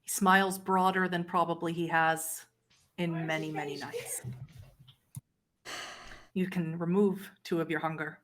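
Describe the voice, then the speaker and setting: A woman running a tabletop horror roleplay as game master, narrating scenes in a descriptive, pausing storyteller way. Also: fairly low pitch, fairly even intonation, a bit bright.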